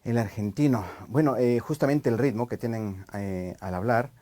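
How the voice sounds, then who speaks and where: A man from Ecuador with an Ecuadorian highland accent, a Spanish teacher chatting on a podcast, thoughtful and hesitant with many fillers. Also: moderate pace, mellow. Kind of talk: casual podcast chat.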